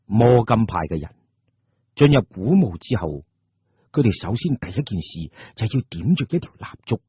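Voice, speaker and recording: A very husky man with a Cantonese accent tells a story in a theatrical, suspenseful way. His pitch swings widely around deliberate pauses. The sound is dry and somewhat muffled. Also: sing-song, no room sound. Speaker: storyteller, narrator. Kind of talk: dramatic scripted audio-drama narration. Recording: muffled, dull audio.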